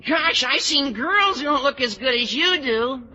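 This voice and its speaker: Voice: high-pitched, animated, measured pace. An actor playing a gawky, tactless, lovestruck teenage schoolboy with an American accent in an old-time radio sitcom. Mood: earnest, tactless. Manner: gushing, guileless, tactless. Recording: muffled vintage radio recording, faint noise.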